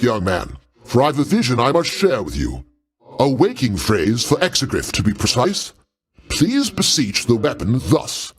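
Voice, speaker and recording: A male actor playing a pompous, jovial older king of the gods in a video game, grandiose and avuncular with genteel, old-fashioned phrasing. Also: medium pitch. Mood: solemn, portentous. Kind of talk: theatrical video game character acting.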